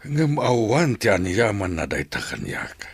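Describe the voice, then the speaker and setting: A man's voice: lowish voice, very animated, a little slow, vocal fry, slightly rough, dry recording, heavily acted. An actor playing an earnest young man pleading his love in a radio drama. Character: devoted young man courting a woman. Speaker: suitor.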